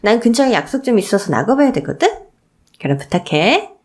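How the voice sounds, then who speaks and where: A woman's voice: medium pitch, big pitch swings, fairly quick. A woman voicing a bossy, two-faced sister-in-law who breezily hands out chores in a radio story drama. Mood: falsely sweet, bossy. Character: bossy, two-faced elder sister-in-law, sweetly ordering others around.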